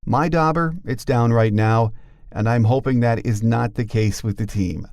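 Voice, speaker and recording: A man's voice: medium pitch, normal speed, a little mellow, no echo, studio quality. A middle-aged man reading scripted sports podcast narration as the host.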